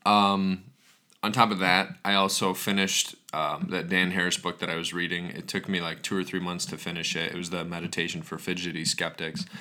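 Groggy young man, deep bright voice. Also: level tone, brisk. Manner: rambling with frequent ums and restarts. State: groggy just after waking. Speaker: podcast host. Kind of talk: casual solo podcast talk.

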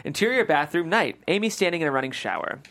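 A woman reads screenplay directions aloud at a table read. Her voice sits very low for a woman and moves with lively, expressive intonation. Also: fast. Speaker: stage-direction reader.

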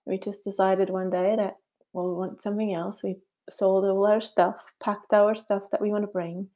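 A woman's voice: mid-range voice, flat delivery, moderate pace, dark tone. A middle-aged woman from Switzerland with a Swiss German accent, hosting a casual solo podcast in a chatty, upbeat way with frequent fillers. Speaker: podcast host.

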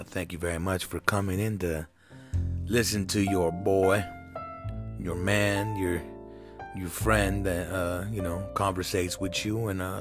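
Thirties host, Mexican American accent, deep low voice, loose and rambling over background music. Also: male voice. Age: 30s. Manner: rambling, many fillers and restarts. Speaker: podcast host. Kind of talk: casual solo talk.

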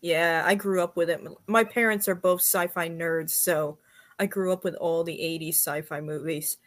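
A woman's voice: on the low side, fairly even intonation, moderate pace. A woman guesting on a podcast, chatting casually with an enthusiastic, easy-laughing fan manner.

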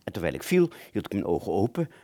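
A man acts out a wounded airman in a radio drama, confused and anxious. His speech stumbles and halts, with pitch that moves restlessly up and down. The sound is very dry, with no room around it. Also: huge pitch swings, dark tone, no echo, heavily acted. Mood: haunted recounting. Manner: agitated, stammering, halting. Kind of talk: Dutch radio drama, first-person narration and dialogue.

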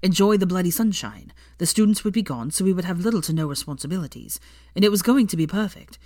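A woman's voice: lowish voice, lively intonation, quick pace, mellow, no room sound, clean studio sound. A woman voicing an anxious, stubborn young man in love, self-conscious and easily flustered, in an audiobook-style story reading. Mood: frustrated, flustered.

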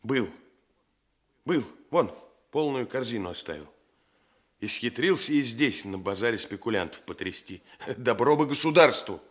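A male actor playing a gruff, principled senior policeman in a radio play, plainspoken, homely and paternal. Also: medium pitch, lively intonation, moderate pace, pauses often, natural voice. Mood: disapproving.

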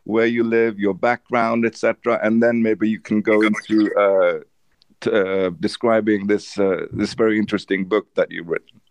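A man's voice: lowish voice, little pitch movement, moderate pace, no echo. A man hosting a podcast, chatting casually and rambling through his questions with many hesitations. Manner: rambling, hesitant, lots of fillers. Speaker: podcast host. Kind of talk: casual conversation.

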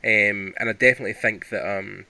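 Bright, forceful low-voiced man in podcast conversation. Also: fairly even intonation, fairly quick, vocal fry, no echo, poor audio. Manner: earnest, rambling, self-reflective, leaning on "you know". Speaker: podcast host and artist.

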